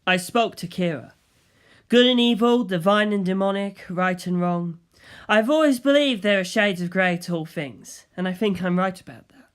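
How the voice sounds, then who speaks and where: A male narrator with a fairly high voice reads a first-person story. The delivery is scripted audiobook storytelling. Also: normal speed, clear, resonant tone.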